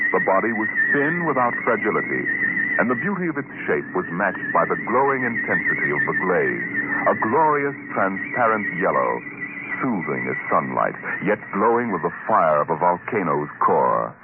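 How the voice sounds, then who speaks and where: A man announcing and narrating in a dramatic 1950s radio style, solemn and theatrical, with deliberate phrasing. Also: deep voice, sing-song. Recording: muffled, very noisy old radio recording.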